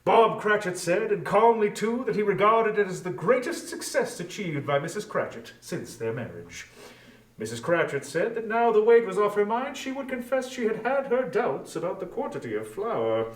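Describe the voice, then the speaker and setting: A man's voice: sits high, sing-song, normal speed. A man narrating a story as a scripted dramatic reading, recorded at some distance from the microphone. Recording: roomy echo, distant from microphone.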